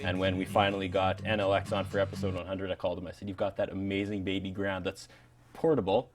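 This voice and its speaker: A man's voice: low voice, level tone, fast, music in the background. A Canadian man hosting a show with casual on-stage interview talk. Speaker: TV show host.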